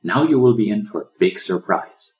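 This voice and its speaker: A man's voice: on the low side, expressive, moderate pace, darkish tone, natural voice. A man voicing a friendly, exuberant pelican who exclaims and reassures in an animal storybook. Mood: excited, reassuring. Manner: beaming, exclaiming. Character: exuberant friendly male pelican, loyal guide.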